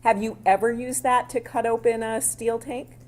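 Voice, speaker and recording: A woman attorney questioning a witness in court, with a loud voice and a conversational, plainspoken style of quick follow-up questions. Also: a little high, fairly even intonation.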